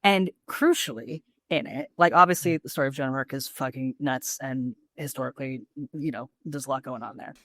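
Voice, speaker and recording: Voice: medium pitch, fairly quick, fairly bright tone, no echo. A podcast co-host chatting casually and enthusiastically, with plenty of slang and swearing.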